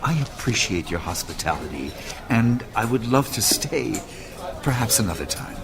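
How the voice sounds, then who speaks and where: An actor playing an earnest, courteous school leader in an audio drama, formal, measured and gravely persuasive. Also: male voice, on the low side, big pitch swings. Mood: grave, urgent. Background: music.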